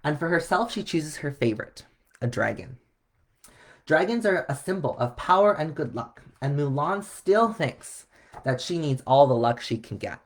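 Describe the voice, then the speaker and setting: A man reading a children's story aloud in an expressive way. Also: fairly high pitch, normal speed. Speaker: storybook reader.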